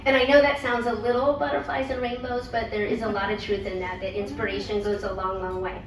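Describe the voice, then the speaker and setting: A woman's voice: medium pitch, flat delivery, fast. Encouraging woman host in a roomy hall. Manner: encouraging, emphatic repetition. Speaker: event host and organization leader. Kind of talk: motivational remarks. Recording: roomy, distant mic with noise.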